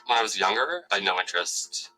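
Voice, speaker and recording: Older man, interview testimonial, deep yet sharp forceful voice, music behind. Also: lively intonation, fast, rough recording. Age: middle-aged or older.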